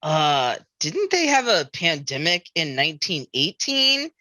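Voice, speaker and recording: A woman's voice: low voice, very animated, measured pace, sharp tone, dry recording, studio quality, heavily acted. A woman reading the part of a worried, exasperated beach-town sheriff in a musical comedy table read, pointed and incredulous.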